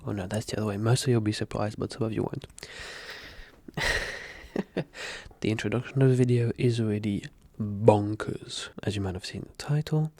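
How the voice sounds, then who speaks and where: A soft-spoken man whose first language is French talks gently into a close mic, often slipping into whispers. His voice is husky and breathy, mellow in tone, with a dry sound and no room around it. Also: lowish voice, normal speed, no room sound. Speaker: ASMR creator. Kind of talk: soft-spoken ASMR talk.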